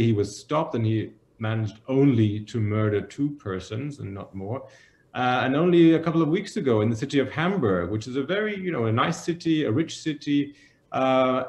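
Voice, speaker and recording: A man's voice: mid-range voice, darkish tone. Serious man from Germany in an echoey room. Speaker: journalist and author, interview guest. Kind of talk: measured interview explanation.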